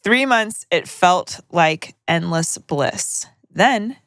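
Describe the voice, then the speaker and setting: A woman's voice: fairly low pitch, animated, very slow, fairly bright tone, no room sound, studio quality. Profane young woman podcast co-host. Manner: profane, joking asides while reading. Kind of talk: casual chat, reading listener letters aloud.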